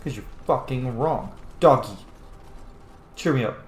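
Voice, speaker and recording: A man's voice: mid-range voice, a little slow. Blunt, sweary man gaming in an echoey room. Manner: blunt, heavily profane. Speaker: gamer playing and commenting on a role-playing game. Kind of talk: casual game commentary. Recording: roomy echo, faint background noise.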